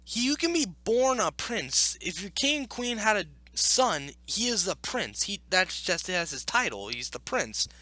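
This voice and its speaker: A man's voice: high voice, very animated, unhurried, hardly any pauses, bright, forceful tone, dry recording, poor audio. A man giving opinionated, casual commentary as a video host, arguing emphatically and repeating his points. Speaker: video host reacting to an article. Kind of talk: opinionated casual commentary with read-aloud passages.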